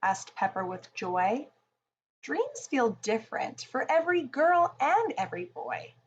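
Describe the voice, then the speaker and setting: A woman reads a rhyming picture book to children at an unhurried pace. Her fairly high voice moves expressively up and down, with faint noise behind it. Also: highs cut off. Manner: deliberate, expressive storytelling in rhyme.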